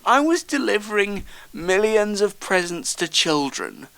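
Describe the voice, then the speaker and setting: A man acts out a hungover Father Christmas in a comedy sketch, drained and grumpy. He drags his words out slowly in a clear, somewhat high voice, groaning and whining as he complains. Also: very animated, a bit bright, no room sound, exaggerated voice. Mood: exhausted, complaining. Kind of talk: comedy phone call sketch.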